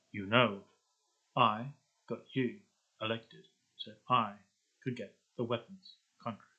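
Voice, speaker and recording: A man voicing a threatening, shadowy arms dealer in a slow, deliberate monotone. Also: on the low side, low-quality recording.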